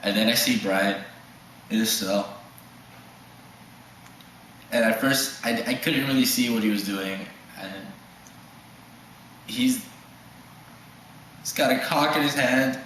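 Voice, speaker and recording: An eighteen-year-old man giving a halting, stammering mock documentary testimony. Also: medium pitch, animated, a bit bright. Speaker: student interviewee. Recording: echoey room with faint noise.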